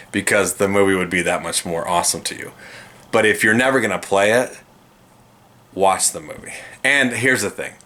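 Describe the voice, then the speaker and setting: A man's voice: low-pitched, pushed and bright. A man in his thirties giving a casual, gushing video game review to camera and rambling with restarts.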